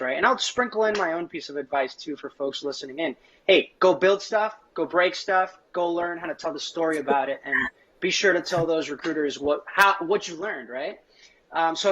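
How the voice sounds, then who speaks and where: A man's voice: a little high, a bit bright. Male host, casual and upbeat, dull lo-fi call sound. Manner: upbeat, conversational, casual fillers. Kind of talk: hosted panel interview. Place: home room with plants. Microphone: video call.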